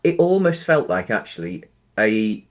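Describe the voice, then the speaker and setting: A man's voice: fairly low pitch, big pitch swings, a little slow. A man in his thirties co-hosting a casual film chat over a headset on a video call from home, rambling with many restarts and fillers. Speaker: podcast co-host.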